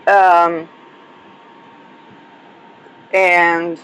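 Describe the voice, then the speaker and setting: A woman's voice: fairly low pitch, level tone, extremely slow, a bit bright, clear, resonant tone, poor audio. A woman hosting an astrology podcast, losing her voice, talking in a rambling solo monologue full of hesitations and restarts. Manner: hesitant, many fillers and restarts.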